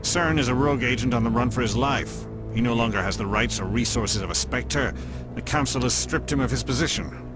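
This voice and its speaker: A stern alien statesman, acted by a man in a video game. His voice is mid-pitched, formal and authoritative, with a sceptical, dismissive edge. Music is clearly audible behind him. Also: a little fast, natural voice.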